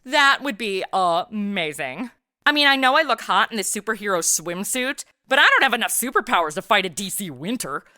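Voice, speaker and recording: Brash American superheroine: flippant, irreverent, lively swooping delivery, dry close sound, comic radio play. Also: female voice, medium pitch, fairly quick, no echo. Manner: sarcastic, irreverent, breezy. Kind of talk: comedy audio drama acting.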